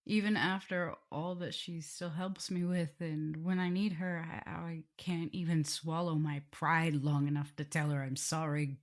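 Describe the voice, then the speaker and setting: A woman's voice: sits low, flat delivery. A woman playing a tender, nervous vampire mistress in an intimate ASMR roleplay, speaking hesitantly and often slipping toward a whisper. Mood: regretful. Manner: hesitant, halting, breaking into whispers and soft laughs. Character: tender, nervous, guilt-ridden female vampire mistress in love.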